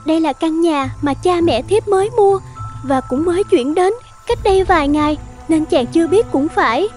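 Very high-pitched young bride. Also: female voice, expressive, normal speed, darkish tone. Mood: gentle. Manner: polite, pleading, old-fashioned wifely speech. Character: gentle young ghost bride, soft-spoken and pleading. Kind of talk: animated folktale dubbing. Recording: music under the voice.

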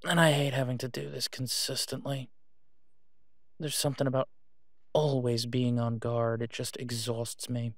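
A man playing a tired, devoted and protective werewolf in an intimate audio drama phone call, speaking in a halting, reassuring way. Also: mid-range voice, flat delivery, dry recording, studio quality, lightly acted. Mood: weary, reassuring.

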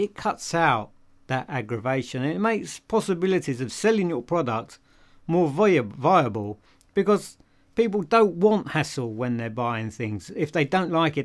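An older man with a British accent giving a casual product review to camera in a conversational, emphatic way, posing questions and answering them himself. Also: fairly high pitch. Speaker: audio dealer and video reviewer. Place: small home office room, indoors.

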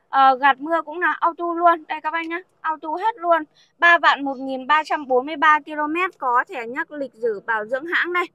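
A young saleswoman talks viewers through a used car in a casual, chatty way, circling back over the same points again and again. Her voice sits high and sounds bright and clear, recorded dry and close with no room echo. She comes across as a friendly showroom seller giving a tour. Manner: chatty, repeats herself, addresses viewers as "các bác".

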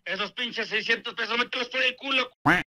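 A man's voice: very high voice, brisk, background noise. A middle-aged man with a Mexican accent, arguing indignantly and foul-mouthed over a tinny phone speaker. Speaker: prank call victim, insurance customer. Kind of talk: heated phone argument. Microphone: phone speaker held near studio mic.

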